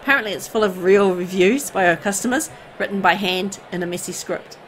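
A woman reading visual novel text aloud as expressive, storytelling narration. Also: medium pitch, brisk, slight background noise, poor audio. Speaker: video game player and narrator.

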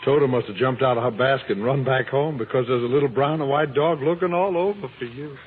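Male actor comforting farm fellow, fast gentle coaxing, medium pitch, vintage radio drama. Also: noisy background, natural voice. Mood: gentle, cheering. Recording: tinny old radio, music behind.

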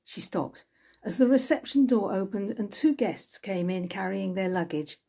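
Older lady reading her novel out loud, husky, kinda mellow, storyteller style. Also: mid-range voice, lively intonation, normal speed. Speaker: author reading from own novel. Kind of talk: scripted narration, audiobook-style reading. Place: small room at home with bookshelves, indoors.

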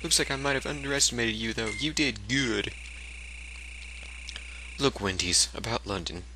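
A man's voice: mid-range voice, normal speed, background noise, close to an everyday voice. Man reading as a cocky boyish game hero. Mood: cocky, wistful.

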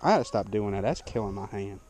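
A man's voice: fairly low pitch, animated, fast talker, darkish tone, slight background noise. A young man, chatting casually and joking at himself while fishing from a small boat on a lake, picked up by an action camera mic. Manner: laid-back, self-deprecating banter. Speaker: fishing vlogger. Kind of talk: casual outdoor fishing narration.

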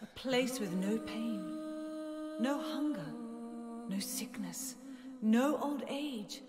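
Casting: devout fanatical priestess for game cutscene, high voice, slow deliberate delivery, solemn preaching. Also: female voice. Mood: reverent. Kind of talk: dramatic video game cutscene acting. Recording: echoey hall reverb under loud music.

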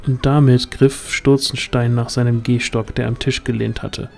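A man narrates a scripted fantasy audio drama. His pitch sits in the middle range, and music plays faintly behind him. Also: level tone, normal speed, vocal fry.

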